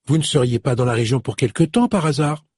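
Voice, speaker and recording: A man's voice: mid-range voice, fast talker, a little mellow, slightly rough, no room sound, clean studio sound, natural voice. A man voicing a cheerful, chatty young female shop assistant in an audiobook. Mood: eager. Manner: chatty, eager, exclamatory.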